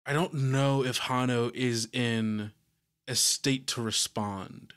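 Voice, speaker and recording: A man describing scenes in a tabletop role-playing game in a measured, improvised way. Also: mid-range voice, fairly even intonation, fairly bright tone, clean tone.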